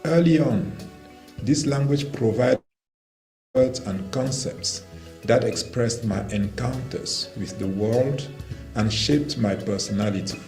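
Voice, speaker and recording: A man from Cameroon whose first language is Romala, reading a formal, measured statement for a language organization. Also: mid-range voice, darkish tone. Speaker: language development representative. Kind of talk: prepared statement read aloud. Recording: roomy video clip with faint music.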